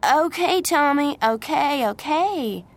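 A woman voicing a gentle, friendly young woman who is patient with children, in an audiobook story. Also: mid-range voice, normal speed, vocal fry, pitched above the performer's own voice, natural voice. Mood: exasperated. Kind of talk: audiobook character voice.

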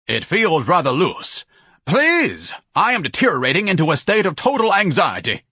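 A man's voice: fairly high pitch, huge pitch swings, moderate pace, pushed and bright, slightly rough, no echo, studio quality, pitched above the performer's own voice, exaggerated voice. A man voicing a large, pompous, paranoid man who declaims in ornate, grandiose phrases in an audiobook. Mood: anxious barking.

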